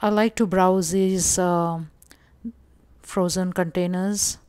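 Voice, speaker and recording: A woman from India narrating a casual shopping walkthrough vlog, calm and explanatory with frequent pauses and fillers. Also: fairly low pitch, clean tone, dry recording. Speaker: vlogger.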